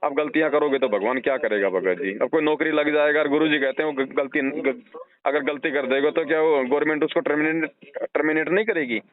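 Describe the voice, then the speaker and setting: A man's voice: on the high side, fairly even intonation, brisk. Man counselling over a tinny phone line. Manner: earnest, explanatory, uses everyday analogies. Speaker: religious counsellor advising a devotee. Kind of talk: devotional advice on a phone call. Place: phone call.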